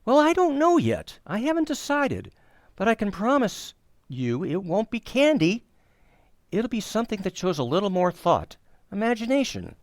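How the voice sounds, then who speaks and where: A well-meaning suburban dad from a radio sitcom, played by a man. His highish voice swings up and down as he hesitates, fusses and offers folksy opinions. The sound is close and dry. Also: wildly swooping pitch, normal speed, dry recording. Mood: earnest, hesitant. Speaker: actor in community radio theater. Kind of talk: old-time radio sitcom acting.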